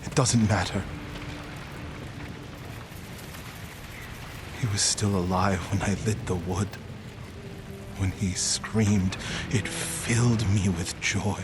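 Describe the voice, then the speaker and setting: An actor playing a grieving, vengeful warrior monk in a video game, speaking in a solemn, brooding and terse way. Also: male voice, fairly low pitch, steady tone, slow pace, over music. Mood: bitter, resigned. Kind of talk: dramatic video game cutscene acting.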